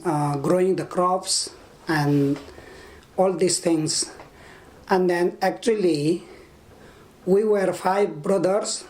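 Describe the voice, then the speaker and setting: Man, fifties, Nepali accent, very slow pace, halting and measured, oral history interviewee. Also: high-pitched, darkish tone, poor audio. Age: 50s. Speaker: interviewee, army veteran. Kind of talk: oral history interview, recounting own life.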